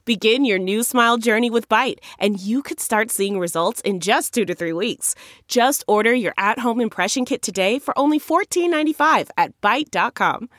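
A woman's voice: fairly high pitch, normal speed, fairly bright tone, dry recording, studio quality. A woman announcer reading scripted commercial narration. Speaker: advertisement announcer.

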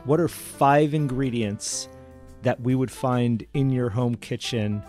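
A man's voice: medium pitch, slow, darkish tone, faint music behind. A man hosting a podcast interview, asking short friendly questions. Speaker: podcast host. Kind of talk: casual interview chat.

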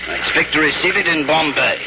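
An actor playing a dogged, suspicious detective in a 1950s radio drama, speaking bluntly in clipped phrases. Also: male voice, on the high side, lively intonation, a little fast, vocal fry. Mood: accusing. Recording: muffled, noisy old radio broadcast.

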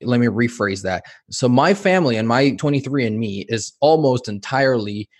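A man's voice: mid-range voice, lively intonation, moderate pace, a little mellow, no echo, studio quality. A man from Mexico in his thirties, hosting a podcast and asking long, winding questions that he rephrases as he goes. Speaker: podcast host. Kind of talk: conversational interview.

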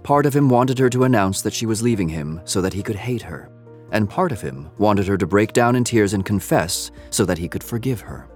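Give me a man narrating a literary audio drama. His pitch sits a little low and his delivery is measured, like careful storytelling, over faint music. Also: animated, darkish tone.

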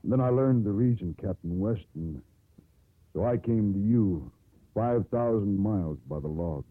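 Radio drama sea captain: formal, tender, slow delivery, dry and narrow 1950s broadcast sound. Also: male voice, fairly low pitch, expressive, dry recording. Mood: formal, earnest. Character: proud, courtly sea captain proposing to his love.

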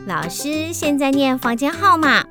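A woman's voice: high-pitched, sing-song, unhurried, over music, pitched above the performer's own voice, full character voice. A woman playing a cheerful, organising primary school teacher in a children's radio drama. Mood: bright, instructing.

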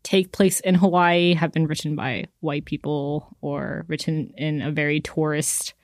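A woman co-hosting a podcast, chatting casually about a book with lots of fillers and lively retellings. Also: low voice, unhurried, dry recording, studio quality. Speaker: podcast co-host.

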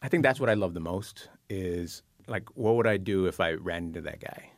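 A man, a book columnist and psychotherapist, talks through his thoughts in a reflective interview, musing with pauses and fillers. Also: deep voice, expressive, no echo.

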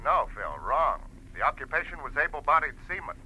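A man acting a gruff, blunt police lieutenant in a 1940s radio drama, American accent, rough voice through a telephone. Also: fairly high pitch, sing-song, fairly quick, bright, forceful tone, exaggerated voice, rough recording. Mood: matter-of-fact.

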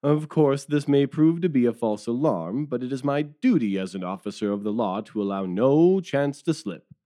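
A man's voice: medium pitch, lively intonation, moderate pace, warm tone, natural voice. An actor playing a weary, deferential male police detective who talks in a rueful, wordy way in an audio drama. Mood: musing.

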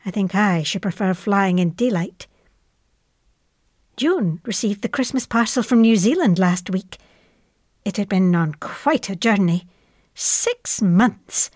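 A woman's voice: medium pitch, dry recording. Fond, chatty wartime mother, close dry sound. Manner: chatty, matter-of-fact, fond. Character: affectionate Scottish mother writing to her airman son in wartime. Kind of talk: dramatised letter reading.

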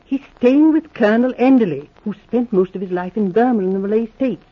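A woman's voice: fairly high pitch, close to an everyday voice. A woman playing prim, earnest lady secret agent. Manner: brisk, earnest, a little prim. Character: Miss Guest, sharp-minded young woman secret agent. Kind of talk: 1940s radio acting. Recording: dull lo-fi old radio transcription.

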